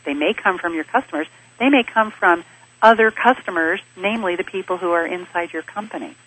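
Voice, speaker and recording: A woman with a bright, slightly husky voice speaks in a measured, explanatory manner as an interview guest. She grew up in Switzerland and lays out her ideas in steady lists of points. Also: lowish voice, low-quality recording. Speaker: interview guest, business advisor. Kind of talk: thoughtful conversational interview answers.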